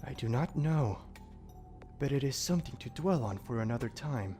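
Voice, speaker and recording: A man's voice: medium pitch, animated, normal speed, mellow, faint music behind, natural voice. An actor playing a stern, protective male demon guardian who speaks in stiff, archaic, formal diction in an audio drama. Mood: measured, formal.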